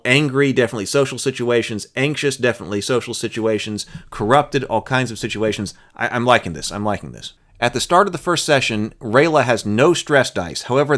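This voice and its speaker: A man's voice: mid-range voice, a bit bright. A man presenting as a host, reading rules aloud and adding casual commentary of his own.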